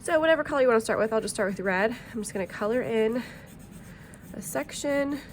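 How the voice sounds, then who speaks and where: A woman's voice: fairly high pitch, lively intonation, a little fast, slight background noise. A woman art teacher talking through a drawing tutorial in a friendly, chatty way, a bit sniffly from allergies, with plenty of ums.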